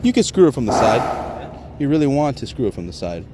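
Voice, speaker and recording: A man's voice: medium pitch, sing-song, fairly quick. A man giving a casual, explanatory on-site walkthrough as a tradesman, in an informal, plain-spoken way. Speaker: HVAC contractor presenting a job. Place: outdoors on a commercial rooftop. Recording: outdoor handheld recording with ambient noise.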